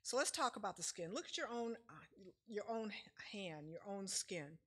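A middle-aged woman leads a health seminar from a church lectern. She explains in a relaxed, chatty way, with fillers here and there. Her voice sits at an ordinary pitch and is picked up from across the room. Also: normal speed, slightly rough. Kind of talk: casual lecture with slides. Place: church sanctuary, speaking at a lectern.

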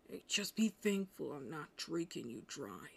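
An arrogant male anime vampire, acted out by a woman, speaks low and slowly to the listener. The voice is breathy and gravelly, swinging widely in pitch as it teases with cold, hungry smugness. It suits an intimate roleplay audio drama. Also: very animated, fairly bright tone, heavily acted. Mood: bossy, possessive. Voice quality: low, breathy, often whispering. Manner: slow, smug, teasing.

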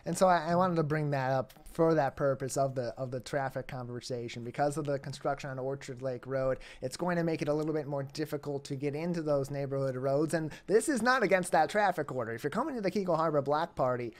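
A young man hosting a local community TV interview over a video call, with a clear, announcer-like delivery. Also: a little high. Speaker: interview host. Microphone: webcam or laptop mic on a video call.

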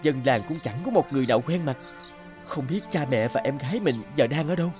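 An actor playing an earnest, polite and hesitant young scholar in an animated folktale, homesick for his village. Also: medium pitch, fast talker, soft background music, close to an everyday voice. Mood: bewildered.

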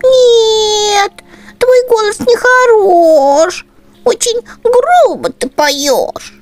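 A woman voicing a silly, sleepy baby mouse in a storybook, fussy and squeaky as it complains. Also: lively intonation, very slow, faint music behind, heavily acted. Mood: whiny, fussy.

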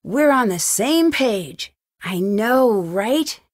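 A woman's voice: on the high side, expressive, very slow, dry recording, clean studio sound, heavily acted. An actress playing a curious, upbeat young woman detective in an adventure game, thinking aloud in short scripted remarks. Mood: friendly.

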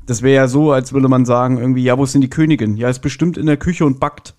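A man with a mid-pitched, mellow voice talks as a podcast guest. His delivery is casual and conversational, with a soft, warm tone. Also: fairly even intonation, quick pace. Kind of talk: casual podcast review chat.

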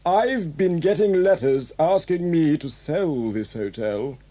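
An anxious hotel owner, in an audio drama. His voice is very high for a man and mellow, with formal, fussy phrasing. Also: expressive, takes it slowly. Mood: worried. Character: anxious, prim hotel owner pleading for help. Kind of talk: 1980s children's audio drama acting.

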